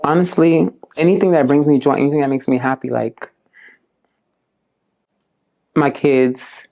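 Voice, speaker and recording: A woman from South Florida, a community case manager, giving unhurried, earnest interview answers, often pausing with "um" and "like". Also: low-pitched, mellow. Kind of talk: casual interview answers to prompts.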